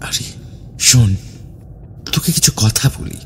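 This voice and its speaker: Man as an insistent young believer, persuasive, secretive, lively rising and falling pitch, horror storytelling, faint noise. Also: slow pace. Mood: placating. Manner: persuasive, earnest explaining.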